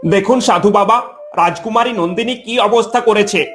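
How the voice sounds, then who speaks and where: A man plays a humble cartoon forest animal with a high voice. He talks earnestly and respectfully, almost pleading, over faint music. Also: moderate pace, a bit bright. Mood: upset. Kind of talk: animated moral story voice acting.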